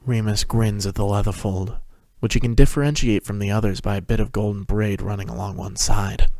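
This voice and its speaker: A man's voice: fairly low pitch, steady tone, a little fast, darkish tone, dry recording. A man narrating a story as a dramatic scripted reading. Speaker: narrator, fan fiction reader.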